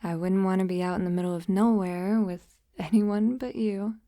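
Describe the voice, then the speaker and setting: A woman playing a shy, affectionate young best friend in an intimate ASMR roleplay, speaking softly and hesitantly, often in a breathy near-whisper. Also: medium pitch, fairly even intonation, no echo, studio quality, lightly acted. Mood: tender, relaxed. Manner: hesitant, gentle, halting. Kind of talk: intimate roleplay ASMR, soft-spoken acting.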